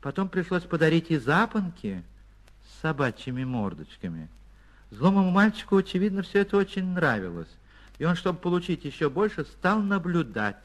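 A man reading a literary short story for radio as an actor, expressive and theatrical, with deliberate pauses. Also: fairly high pitch. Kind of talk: dramatic literary narration for radio.

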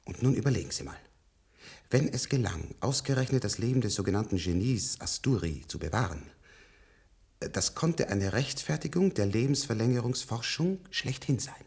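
An actor in a German radio play, playing a weary, very old scientist who talks hesitantly and reflectively in a husky, gravelly voice. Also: male voice, mid-range voice, very animated, normal speed, a little mellow. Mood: explaining, wry. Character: weary, very old scientist with a longevity-treated middle-aged body.

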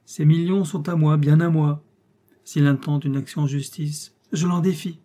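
A man voicing a gruff, hot-tempered, hard-drinking old Russian general in an audiobook, blustering and barking his orders. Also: a little high, fairly even intonation, normal speed, dark tone, close to an everyday voice. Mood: defiant, possessive. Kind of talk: audiobook character dialogue.

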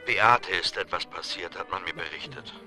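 An actor in a radio drama playing a smooth, scheming, condescending man with a measured, insinuating manner. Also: low-pitched, natural voice. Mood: dry, formal. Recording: music or ambient noise behind.

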